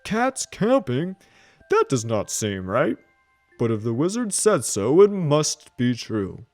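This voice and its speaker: A man's voice: medium pitch, wildly swooping pitch, slow, a little mellow, dry recording, faint music behind, heavily acted. A man voicing a kindly, gullible knight in a children's storybook, hearty and proper. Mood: puzzled, hearty.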